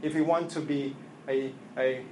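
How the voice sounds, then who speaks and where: A man whose first language is Chinese, preaching a sermon in English after an opening prayer, halting and earnest with many fillers and restarts. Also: medium pitch, fairly even intonation, mellow. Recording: echoey room with faint ambient noise.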